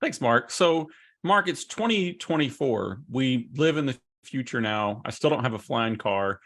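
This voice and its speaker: A man's voice: medium pitch, expressive, unhurried, fairly bright tone. A middle-aged man, a consultant, presenting in a webinar and chattily prompting his co-presenter with questions. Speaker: solution consultant.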